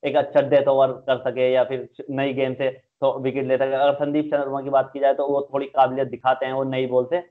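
A man's voice: mid-range voice, completely flat delivery, brisk, hardly any pauses. A young man giving conversational cricket match analysis over a video call. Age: young adult. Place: indoors, small room, video call. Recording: thin phone-like video call audio.

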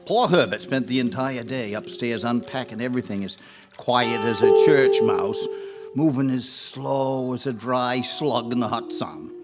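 A man's voice: mid-range voice, a little slow, close to an everyday voice. Man as a wry radio-play narrator over music. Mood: wry, suspenseful. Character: wry storytelling male narrator. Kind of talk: scripted radio drama narration.

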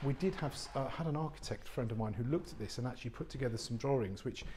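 A man's voice: medium pitch, fast talker, darkish tone, slight background noise. Chatty man touring a warehouse. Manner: chatty, many fillers and restarts. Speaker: estate agent giving a property tour. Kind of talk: unscripted walk-through tour. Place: large warehouse flat, indoors. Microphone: handheld phone camera, speaker off screen.

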